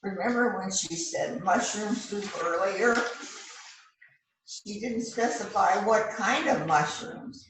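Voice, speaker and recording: A woman playing a bossy, showy police detective in an amateur readers theater rehearsal, speaking in a deliberate, emphatic way. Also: mid-range voice, lightly acted. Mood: triumphant. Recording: roomy, distant mic with faint noise.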